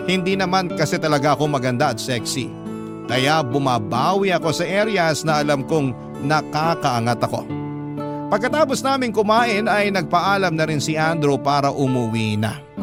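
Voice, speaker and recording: A man reading a scripted first-person love story as narrator, in a confessional storytelling way. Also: fairly high pitch. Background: guitar music.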